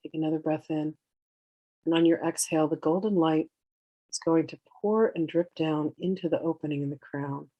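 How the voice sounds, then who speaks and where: A meditation teacher guiding in a soothing, unhurried way, with gentle instructions in drawn-out phrases. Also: medium pitch, steady tone, no room sound, muffled recording. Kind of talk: guided meditation, slow instruction.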